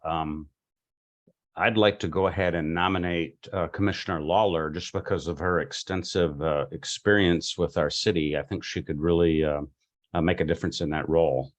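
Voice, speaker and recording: A man chairing a local government meeting in a halting, informal, polite way. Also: sits low. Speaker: commission chair.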